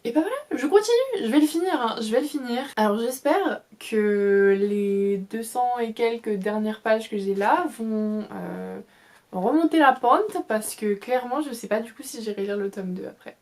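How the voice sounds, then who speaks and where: A woman's voice: fairly high pitch, expressive, moderate pace, a little mellow. A young woman chatting casually about her reading in a vlog, enthusiastic and full of fillers and restarts. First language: French. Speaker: book vlogger.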